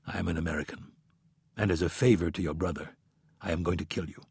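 A man voicing a cold, controlled male assassin who speaks low and quietly with menace. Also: steady tone, fast, gravelly, husky, dry recording, clean studio sound, exaggerated voice.

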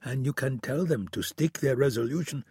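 A man's voice: mid-range voice, moderate pace, slightly rough, no room sound, clean studio sound, voice pushed up. A man doing a cold, ruthless spy chief. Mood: dismissive. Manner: blunt, forceful and uncompromising. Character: cold, supremely confident male spy chief.

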